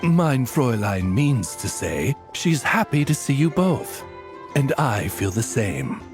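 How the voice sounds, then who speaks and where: A polite raven familiar in a video game, speaking like a dignified butler. The mid-pitched lines rise and fall elegantly, with music behind. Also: male voice, sing-song, slow. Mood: courteous. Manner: courteous, formal butler-like.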